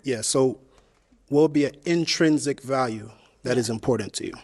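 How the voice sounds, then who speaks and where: Slow deliberate man asking a question. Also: medium pitch, a little mellow. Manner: slow and deliberate, formal wording. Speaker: dating show contestant. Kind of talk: asking a question on a dating show.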